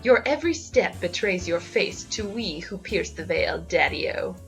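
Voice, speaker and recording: A woman's voice: fairly low pitch, very animated, unhurried, a bit bright, full character voice, poor audio. A woman playing an eerie, scornful flapper villain who taunts imperiously and cryptically in a 1920s noir comedy audio drama, with music behind. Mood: taunting. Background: background music.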